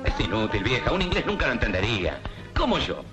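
An actor playing a streetwise Argentine tango singer with a Rioplatense accent, in a 1960s film comedy. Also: male voice, mid-range voice, fast, loud music behind the voice. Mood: wry. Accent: Rioplatense Spanish. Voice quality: lively, streetwise.

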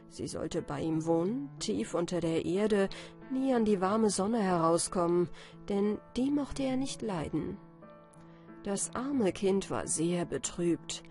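Unhurried woman reading a fairy tale. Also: mid-range voice, dark tone. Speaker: audiobook narrator. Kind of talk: scripted bedtime fairy-tale narration. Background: music.

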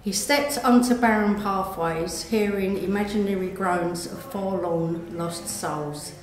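A woman's voice: medium pitch, slow. A woman reading her own short story aloud as scripted narration. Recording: echoey room with background noise.

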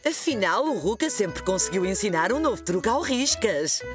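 Woman as expressive cartoon storyteller, European Portuguese. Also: medium pitch, moderate pace, music in the background, lightly acted. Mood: storytelling. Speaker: narrator. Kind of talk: scripted children's storytelling narration.

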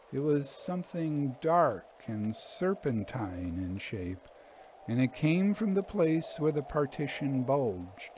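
A man narrating scary stories in a suspenseful, deliberate, theatrical manner. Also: mid-range voice, a little mellow. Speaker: narrator, actor and author. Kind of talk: dramatic scripted ghost story narration.

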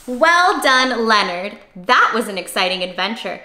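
A young adult woman reading a picture book aloud to young children in an animated, exclamatory, questioning way. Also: a little high. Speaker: children's read-aloud host. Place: small cozy indoor room. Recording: roomy indoor echo.

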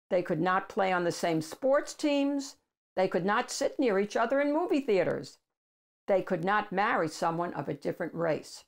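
Older woman reading aloud with deliberate emphasis. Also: mid-range voice. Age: older, 60s or above. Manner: deliberate, emphatic phrasing for children. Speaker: children's read-aloud host. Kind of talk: read-aloud picture book narration. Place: small room at home with bookshelves.

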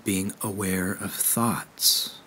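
A man leading a guided meditation in a gentle, unhurried way with measured pauses. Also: deep voice, fairly even intonation, husky, poor audio.

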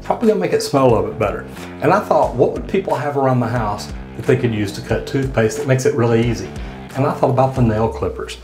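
A man's voice: mid-range voice, expressive, fast, over music. A man, a plumber casually reacting to and trying out home hacks in a plainspoken, practical way. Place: studio set and a small restroom. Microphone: boom mic on desk and lapel mic.

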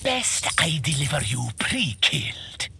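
An actor playing a greedy, menacing otherworldly tribute collector with a gravelly hiss and a sly, formal, theatrical manner in a fighting game. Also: male voice, a little high, sing-song, a little slow, pushed and bright, soft background music, full character voice. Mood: sly, boastful. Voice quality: gravelly, hissing. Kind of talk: video game fight banter acting.

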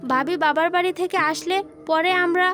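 A considerate young village daughter played by a woman. She is gentle and fair-minded, in cartoon drama style with music behind her. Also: very high-pitched, fairly even intonation, fairly quick. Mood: gently reproving. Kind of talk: cartoon family drama dialogue.

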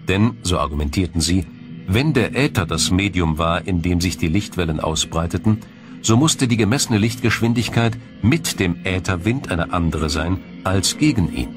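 A man with a low-pitched voice reads scripted documentary narration. His delivery is formal and measured, laying out explanations step by step. Music plays behind his voice. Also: lively intonation.

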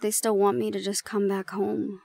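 A woman playing a shy, gentle young gorgon in an intimate audio roleplay, speaking softly and haltingly. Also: medium pitch, level tone, vocal fry. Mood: reflective, confiding. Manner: soft, hesitant, halting.

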